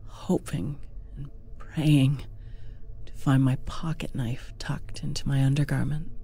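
A narrator reading a scripted first-person fantasy story in a hushed, dramatic, deliberate manner. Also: medium pitch, husky. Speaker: audiobook narrator.

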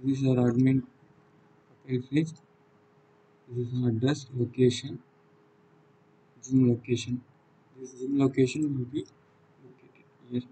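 A man with a South Indian accent and a husky voice, giving an unscripted software walkthrough in halting, non-fluent phrases. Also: medium pitch, little pitch movement, low-quality recording. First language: Tamil. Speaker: software demonstrator. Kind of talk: unscripted screen-recorded tutorial walkthrough.

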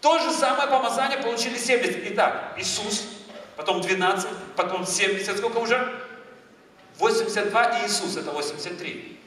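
Male preacher, 30s, Moldovan accent, high and bright, in a big echoey church hall, heard from a distance. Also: brisk, slight background noise. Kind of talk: lively charismatic sermon. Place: large church hall with a stage.

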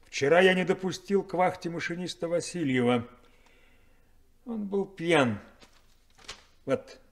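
An actor playing an evasive, resentful ship's chief engineer in a 1970s Soviet radio play, with a halting manner. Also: male voice, a little high, expressive. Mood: hesitant, uneasy. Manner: hesitant, halting, hemming.

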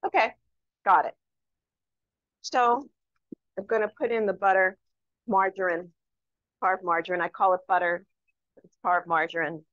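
Echoey, lower-voiced woman demonstrating a recipe. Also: fairly even intonation, unhurried, pauses often. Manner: chatty, instructive. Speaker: cooking show host. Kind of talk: casual cooking demonstration. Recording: echoey room, dull lo-fi sound.

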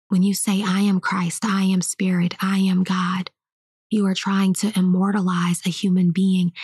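A woman whispering a slow guided meditation and spiritual teaching in a soft, hushed voice. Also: mid-range voice, husky, no echo, clean studio sound.

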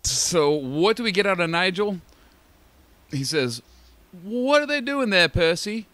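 Animated, profane podcast co-host, a man. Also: high-pitched, unhurried, no room sound. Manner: animated, profane, laughs often. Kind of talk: casual wrestling watch-along chat. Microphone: online call.

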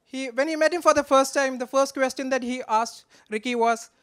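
High, clear-voiced young man. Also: steady tone, a bit bright. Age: 20s. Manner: joking, affectionate, halting delivery. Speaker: best man, groom's brother. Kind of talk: humorous wedding toast read from notes. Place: wedding reception in a large draped marquee. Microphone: handheld microphone.

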